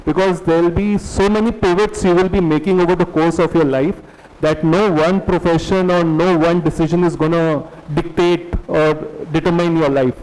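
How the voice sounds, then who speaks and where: A man's voice: on the high side, normal speed. Man moderating a panel in a hall. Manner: calm, encouraging, hands questions to panelists.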